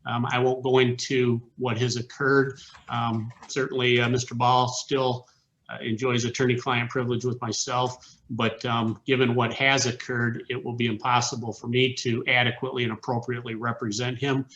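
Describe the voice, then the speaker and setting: Man, lawyer, formal careful statement, flat delivery, dull video call sound. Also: mid-range voice, moderate pace. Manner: formal and careful, with many ums. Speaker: defense attorney.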